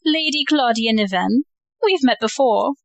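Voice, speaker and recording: Poised high-voiced aristocratic lady, played by a woman. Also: animated, measured pace, gravelly, no echo. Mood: composed. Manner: poised, composed. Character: sophisticated, unfazed titled lady, quietly amused and composed. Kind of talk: audio play acting.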